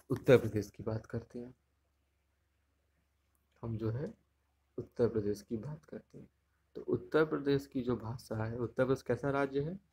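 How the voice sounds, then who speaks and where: A man's voice: mid-range voice, a little hiss or hum. A man with a Hindi accent teaching an exam-prep lesson over slides. He explains patiently, repeats his points and checks in with the listener. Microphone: screen recording, unseen speaker.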